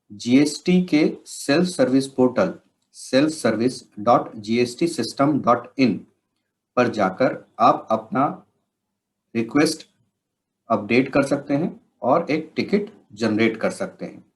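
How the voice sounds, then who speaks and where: A man with an Indian accent explains software steps as a trainer, in measured, instructional phrasing. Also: medium pitch. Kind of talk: instructional software tutorial narration.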